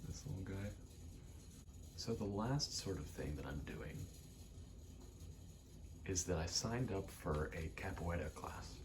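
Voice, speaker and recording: A soft-spoken man rambling casually in ASMR style, hesitant and pausing often between short phrases. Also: low voice, animated, background noise. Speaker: ASMR vlogger and aspiring actor.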